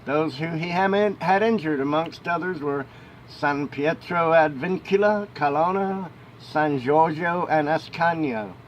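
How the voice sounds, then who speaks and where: A man reads a historical text aloud in a somewhat high-pitched voice. His phrasing is emphatic, in the manner of an amateur audiobook reader who now and then trips on a word. A faint ambient noise sits behind him. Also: measured pace, lo-fi sound. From United States. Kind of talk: reading a historical book aloud, with informal asides. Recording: noisy home recording with ambient rumble.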